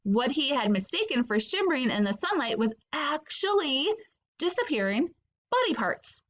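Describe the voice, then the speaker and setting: Bright, high-pitched woman reading a children's story. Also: very animated, normal speed. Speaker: teacher reading aloud to pupils. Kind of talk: expressive children's book read-aloud.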